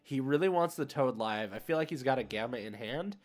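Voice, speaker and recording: A man's voice: mid-range voice, expressive, fairly quick. A man streaming an online card game, thinking aloud in casual, chatty play-by-play with slangy asides. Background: music playing behind the voice.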